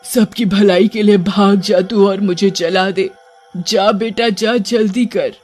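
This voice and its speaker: A man voicing a pleading, high-voiced possessed grandmother. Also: fairly even intonation, slow pace, a little mellow, soft background music. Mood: pained, pleading. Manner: pleading, urgent, moaning. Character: old grandmother possessed by a witch, desperate, self-sacrificing.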